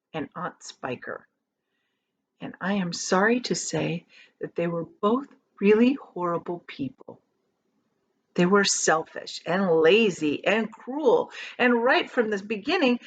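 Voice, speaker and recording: A woman reads a children's story aloud with very lively, swooping intonation. She leans on key words and stretches them out for drama. The recording is slightly dull and lo-fi. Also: a little high, husky. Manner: expressive, dramatic emphasis with drawn-out key words. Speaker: teacher reading aloud.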